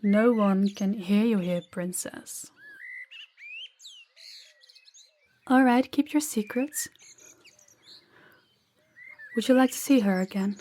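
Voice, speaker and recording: Birds chirp faintly behind a woman acting as a young princess in a romantic audio roleplay. She is gentle and frank, talking at a middle pitch in an intimate, confiding way, with thoughtful pauses. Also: a little hiss or hum, natural voice. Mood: gently teasing. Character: young princess in an arranged marriage, candid and gentle. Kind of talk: roleplay audio drama spoken to the listener.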